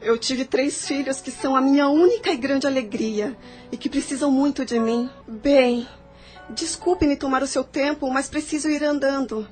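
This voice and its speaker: Actress as melancholy married mother, courteous audio-drama delivery, high-pitched, music underneath. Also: fairly even intonation, moderate pace, darkish tone, close to an everyday voice. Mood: politely resigned. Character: polite, embittered married mother with deep sadness. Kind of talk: audio novel dramatic acting.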